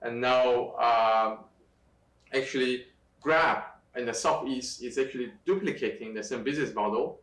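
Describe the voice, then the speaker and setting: A middle-aged man from Taiwan, a guest in an interview, speaking in a halting way with many fillers and restarts. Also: medium pitch, a bit bright. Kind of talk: conversational interview. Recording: echoey room, distant from mic.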